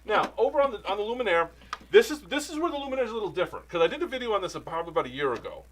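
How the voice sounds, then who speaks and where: A man's voice: high-pitched, very animated, racing, fairly bright tone, poor audio. A man teaching sewing machine maintenance in a casual, jokey demo, often wandering off topic. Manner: rambling, jokey, easily sidetracked.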